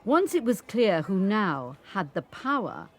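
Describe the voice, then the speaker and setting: An older woman acting an 85-year-old grandmother telling her story in a measured, deliberate dramatic monologue. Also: medium pitch, sing-song. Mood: measured, grave. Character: 85-year-old grandmother recounting a family confrontation. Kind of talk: dramatic monologue, scripted narration.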